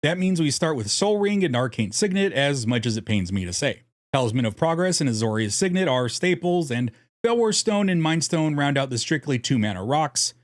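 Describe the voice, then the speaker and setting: A man's voice: a little high, sing-song, fairly quick, dry recording, clean studio sound. A man narrating a scripted strategy guide in a jokey, irreverent way, casually swearing as host. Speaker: host of a card game deck guide.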